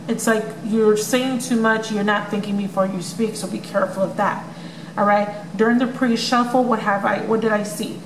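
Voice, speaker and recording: A woman giving a casual tarot love reading to her viewers, chatty and full of ums, with a voice that may crack from overuse. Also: on the high side. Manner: chatty, many ums, addresses viewers as you guys. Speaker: tarot reader. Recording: echoey room with noisy background.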